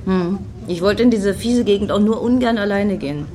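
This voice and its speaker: A woman's voice: mid-range voice, normal speed, mellow, lightly acted. A woman acting in a satirical radio play as a tart, ironic friend on a night out, mocking with dry irony.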